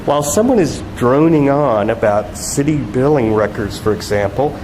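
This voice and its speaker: A man voicing a pompous, cynical woman politician in deliberate speech, reading from a novel. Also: medium pitch, animated, close to an everyday voice. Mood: smug. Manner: slow, deliberate. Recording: echoey hall with loud background noise.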